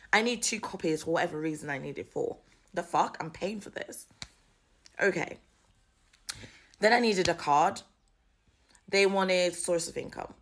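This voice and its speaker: A woman's voice: sits low, fairly bright tone. A Jamaican-born woman who grew up in the UK, with a British accent, telling a story vlog-style in a blunt, exasperated way and swearing freely. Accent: British English with Jamaican roots. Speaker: vlogger. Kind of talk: casual storytime rant.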